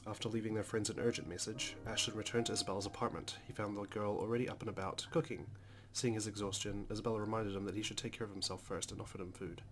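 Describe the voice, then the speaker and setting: A man's voice: fairly low pitch, steady tone, fast, hardly any pauses. A man narrating a visual novel as he plays, reading the story aloud with casual asides.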